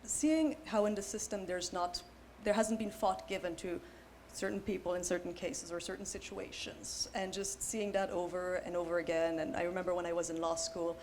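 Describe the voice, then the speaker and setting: A young woman on a panel, talking in an animated, casual way with many fillers. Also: fairly low pitch, moderate pace. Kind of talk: panel discussion. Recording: faint background noise.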